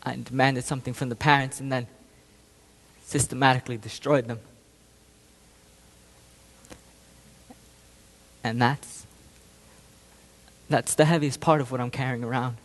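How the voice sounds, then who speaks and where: A man performing a stage monologue as a guilt-ridden war veteran, halting and intense, swinging between hushed and explosive. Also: on the high side, lightly acted. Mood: haunted, subdued. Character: guilt-ridden male war veteran confessing, haunted and anguished. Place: large echoing room, performing to an audience. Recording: reverberant hall recording.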